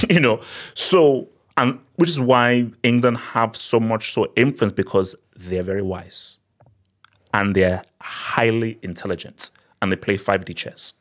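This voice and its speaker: A man's voice: fairly low pitch, measured pace. Sarcastic Nigerian-accented man. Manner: sarcastic, animated, gesturing. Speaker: political commentary vlogger. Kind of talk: opinionated political commentary. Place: small indoor studio. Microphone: desk mic on boom arm.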